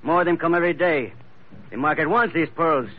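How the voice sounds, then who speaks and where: An actor playing a ruthless, scheming pearl poacher in 1940s radio acting style, talking low and menacing. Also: male voice, a little fast, natural voice. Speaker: pearler Labrado. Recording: dull old radio broadcast, faint hiss.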